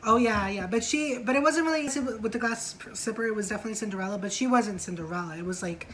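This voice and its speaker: A woman's voice: mid-range voice, fast talker, fairly bright tone. A woman hosting a casual review vlog, chatting in a slangy way and often checking for agreement. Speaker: vlog host reviewing a TV show.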